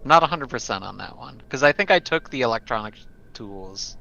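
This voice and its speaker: A man's voice: medium pitch, lively intonation, fairly quick, creaky, pushed and bright, highs cut off. A man playing a tabletop roleplaying game, chatting casually and a little tentatively about his character. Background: background music.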